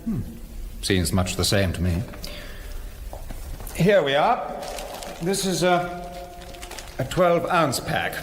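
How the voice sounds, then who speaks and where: An actor playing a polite, evasive British factory colleague in a radio drama, courteous, hesitant and understated. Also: male voice, on the high side, big pitch swings, slow pace, pauses often, slight background noise. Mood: polite, guarded.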